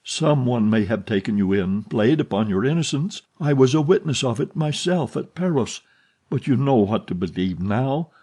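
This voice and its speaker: A man's voice: lowish voice, dark tone, husky. A man playing an impassioned jealous young man. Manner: impassioned, sarcastic outbursts.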